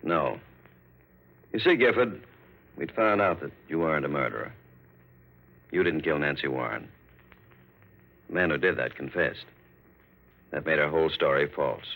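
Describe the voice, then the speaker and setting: An actor playing a steady, authoritative police captain, matter-of-fact and explanatory, in a 1940s radio drama. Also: male voice, rumbling low, normal speed, pauses often, exaggerated voice, poor audio.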